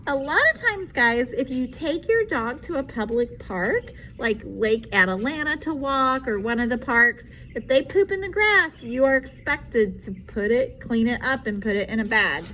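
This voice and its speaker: A woman's voice: a little high, lively intonation, normal speed, hardly any pauses, pushed and bright. A woman teacher reading a picture book aloud to children, animatedly, stopping to explain things simply. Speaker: special education teacher. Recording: faint background noise.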